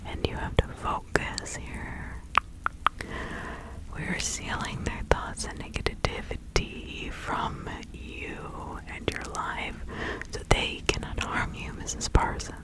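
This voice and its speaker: A woman playing a reassuring seventeenth-century witch counselling a client in an ASMR role-play, whispering in a halting, ritual manner. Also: close to an everyday voice, poor audio.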